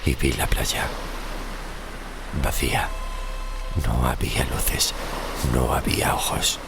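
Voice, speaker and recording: An actor playing a weary, tormented sixteenth-century shipwrecked sailor, narrating a horror audio drama in a grave, slow, literary way with a gravelly, very deep voice. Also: male voice, level tone, noisy background, exaggerated voice. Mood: bleak, resigned. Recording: music under the voice.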